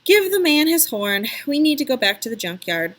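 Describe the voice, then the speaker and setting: A woman's voice: high-pitched, big pitch swings, normal speed, a bit bright, voice pushed up, heavily acted. A woman voicing a protective, shrewd male character in a children's storybook, speaking in a plain, polite, canny way. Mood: polite regret. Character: Alex, protective, shrewd male friend bargaining for Louis.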